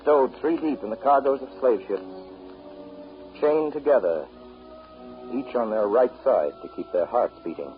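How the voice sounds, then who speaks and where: A man's voice: mid-range voice, expressive, a little slow, pauses often, soft background music, lightly acted. An actor playing an earnest, wry American man with an American accent, explaining history reflectively in a 1930s radio drama. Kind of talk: 1930s radio drama acting, conversational storytelling. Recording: dull old 1930s radio recording.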